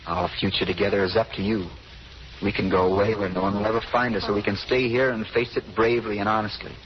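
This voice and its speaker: An actor playing a calm, devoted gentleman sweetheart, earnest and measured in old-time radio drama style. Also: lowish voice, natural voice. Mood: earnest, urging. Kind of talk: 1930s radio drama acting. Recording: muffled, noisy old radio recording.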